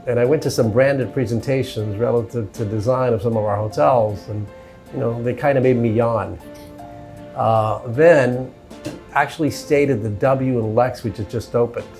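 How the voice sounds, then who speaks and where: A man's voice: mid-range voice, normal speed, a little mellow, soft background music. A middle-aged man, a hotel executive born in Cuba and raised in Miami, talking easily through his career in an interview, with "you know" and "uh" along the way. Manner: easygoing, sprinkles "you know" and "uh". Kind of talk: relaxed conversational storytelling.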